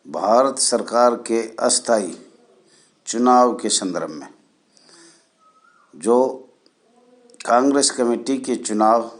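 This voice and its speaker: A man from India hosting a channel, explaining history in a deliberate, halting way with short phrases and formal honorifics. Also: mid-range voice. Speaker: channel host. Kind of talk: spoken history explainer for viewers.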